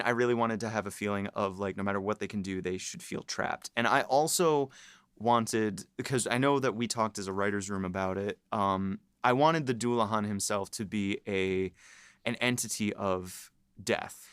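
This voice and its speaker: A man's voice: on the low side, clear, resonant tone, no echo, studio quality. A man co-hosting a podcast, explaining his writing in casual chat with many fillers and bursts of laughter. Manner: animated, lots of fillers, laughs while explaining. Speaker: writer and podcast co-host. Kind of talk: casual behind-the-scenes chat.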